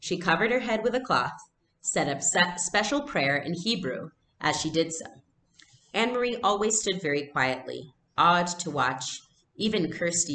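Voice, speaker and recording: A woman's voice: low-pitched, a bit bright. A woman reading a storybook aloud expressively, like a teacher, in an indoor room. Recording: echoey room, faint background noise.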